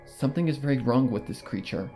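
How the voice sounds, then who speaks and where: A man's voice: mid-range voice, lively intonation, fast, warm tone, soft background music. Man reading a story in first person. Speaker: story narrator.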